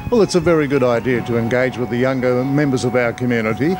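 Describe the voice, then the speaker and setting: A man's voice: mid-range voice, quick pace, music in the background. A man with an Australian accent reporting and interviewing on location as a television news reporter. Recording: noisy outdoor location, traffic ambience.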